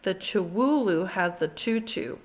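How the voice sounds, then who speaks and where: Woman doing a deadpan kitten sister. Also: mid-range voice, measured pace, close to an everyday voice. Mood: matter-of-fact.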